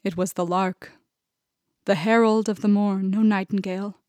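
A woman's voice: mid-range voice, steady tone, normal speed, a little mellow, dry recording, close to an everyday voice. A woman voicing a young male acting student who is guarded and answers with dry, wry quips. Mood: reciting.

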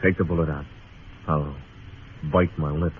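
A man's voice: extremely low pitch, fairly even intonation, unhurried, narrow, tinny audio, a little hiss or hum. An actor playing a tough, world-weary frontier marshal in a radio drama, terse and wry, narrating his own story in hardboiled style. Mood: dry, wry. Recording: thin old radio recording with music.

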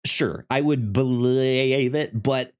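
A man talks casually as a movie podcast co-host at a slow pace. His delivery is halting, with frequent stammers and repeated words, and his pitch sits in an ordinary middle range. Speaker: podcast co-host reviewing films.

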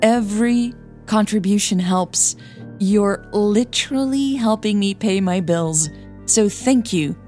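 A woman's voice: medium pitch, slow, mellow, faint music behind. A woman narrating a scripted audio drama as its storyteller and podcast host.

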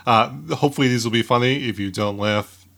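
A man's voice: mid-range voice, a little fast, a bit bright. A man hosting a podcast in casual, informal talk, rambling and often interrupting himself. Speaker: podcast host.

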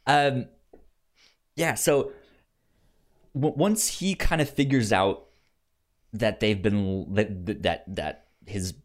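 A man co-hosting a casual film discussion, speaking haltingly and often repeating sounds and words as he goes. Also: on the low side. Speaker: film podcast co-host.

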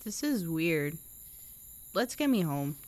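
A woman's voice: fairly low pitch, sing-song, slow pace, darkish tone. A young woman playing an anxious, awkward seventeen-year-old girl in an audio drama, speaking in a hesitant, flustered way. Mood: uneasy, cautious.

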